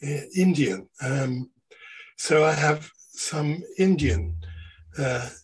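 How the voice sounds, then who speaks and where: Slow, raspy man, hesitant. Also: a little high, lively intonation, rough recording. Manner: hesitant, searching for words. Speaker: podcast co-host. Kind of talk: interview questioning.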